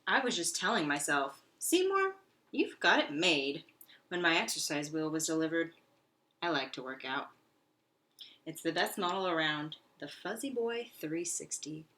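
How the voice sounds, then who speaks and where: A woman's voice: low voice, expressive, a little slow, bright, forceful tone, heavily acted. A woman voicing a self-satisfied little male pet hamster from a children's storybook, in a boastful, theatrical, exclamatory way. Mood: proud, excited. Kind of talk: children's storybook read-aloud.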